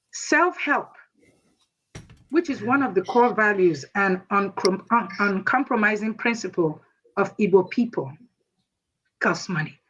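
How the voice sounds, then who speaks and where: Husky Nigerian man in his sixties. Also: sits high. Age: 60s. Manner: earnest, emphatic, asks rhetorical questions and answers them himself. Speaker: activist guest speaker. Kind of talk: impassioned speech to an audience. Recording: dull lo-fi audio.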